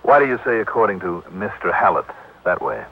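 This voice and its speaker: American, shrewd wry radio detective, terse, rough-edged voice, thin vintage broadcast sound. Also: male voice, low-pitched, big pitch swings, brisk, narrow, tinny audio, exaggerated voice. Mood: shrewd, probing. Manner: breezy, hard-boiled, terse. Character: wry, shrewd bachelor freelance insurance investigator. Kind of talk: 1950s radio drama acting. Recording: old band-limited radio broadcast recording.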